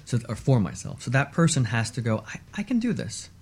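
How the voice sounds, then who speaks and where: A man speaking as an expert guest on a radio talk show, explaining in a measured, emphatic way and repeating points for stress. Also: medium pitch, very animated, breathy edge.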